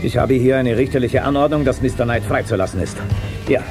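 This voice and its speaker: An actor playing a gruff, skeptical criminal henchman in a radio play, blunt and dismissive. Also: mid-range voice, brisk, close to an everyday voice. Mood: official, firm. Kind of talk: German radio play acting. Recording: loud music behind, cassette radio play.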